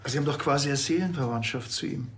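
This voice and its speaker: A man's voice: medium pitch, fast, lightly acted. An actor playing a wry, sardonic village policeman with a Bavarian accent, speaking dryly in a TV crime comedy. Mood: coaxing. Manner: dry, laconic, sarcastic.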